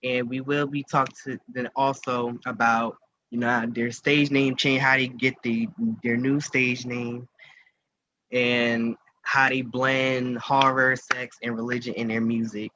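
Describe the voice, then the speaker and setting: A man's voice: mid-range voice, level tone, a little slow, sharp tone. A man hosting a radio show, chatting casually in an informal, rambling interview style. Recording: muffled lo-fi audio with noticeable hiss.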